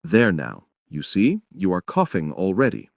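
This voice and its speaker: A man performs a stern and earnest male doctor in a stage play. He sounds grave and admonishing, pitched on the low side. The recording is dry, with no room sound. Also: huge pitch swings, measured pace, gravelly, dry recording, exaggerated voice. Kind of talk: dramatic reading of a stage play.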